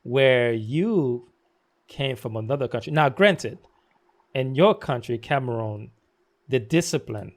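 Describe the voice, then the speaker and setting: A man's voice: medium pitch, expressive, takes it slowly. A man hosting an interview podcast, asking long, encouraging questions in a casual, friendly manner. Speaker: podcast host.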